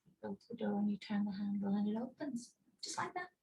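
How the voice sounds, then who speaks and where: A woman leading a guided relaxation and visualization over a video call, speaking in slow, soothing, paused instructions. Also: medium pitch, steady tone, vocal fry, warm tone, low-quality recording.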